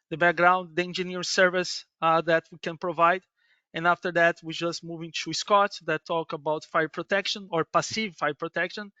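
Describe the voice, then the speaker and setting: A middle-aged Brazilian man presents a webinar in halting, accented English, restarting phrases and tagging "yes". His voice sits high and sounds bright and clean. The audio is dry and a little muffled. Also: clear, resonant tone, no echo. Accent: Brazilian Portuguese. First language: Portuguese. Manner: halting non-native English with many restarts and "yes" tags. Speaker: business development manager presenting a webinar.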